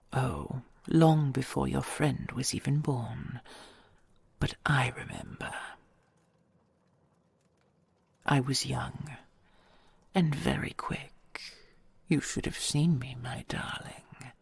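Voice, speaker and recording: A woman voicing an ancient dragon queen in an audio roleplay, tender and regal, speaking in a husky, breathy half-whisper with stately, intimate phrasing. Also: extremely low pitch, a little mellow, no echo, full character voice. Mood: reminiscing. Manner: stately, measured, formal and intimate endearments.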